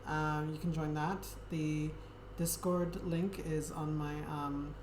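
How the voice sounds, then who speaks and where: A woman hosting a livestream, talking casually to her viewers in a soft, friendly way and often pausing on an um. Her voice sits low. It echoes around the room over a steady background noise. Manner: soft, friendly, hesitant with many ums. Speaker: Twitch streamer.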